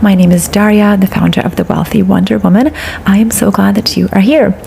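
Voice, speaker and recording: A woman with a stuffy, congested voice hosts a dating advice podcast, coaching her listeners with steady encouragement. Her mid-height voice carries a gravelly, fry-tinged edge, and a faint hiss sits under the recording. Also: medium pitch, fairly quick. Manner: encouraging, coaching tone with repeated phrases. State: stuffy from a cold. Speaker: podcast host.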